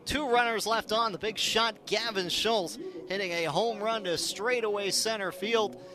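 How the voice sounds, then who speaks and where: A man's voice: high-pitched, unhurried, crowd noise. A man calling a live baseball game on the radio as play-by-play announcer, in clipped, descriptive phrases. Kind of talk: live radio sports commentary.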